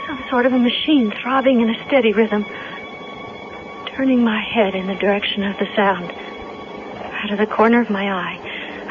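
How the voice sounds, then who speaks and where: An actress playing a frightened young captive woman in a 1970s radio drama, halting and dramatic in delivery. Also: a little high, background noise. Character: frightened, drugged young woman held captive, narrating her nightmare. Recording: muffled vintage radio recording with music.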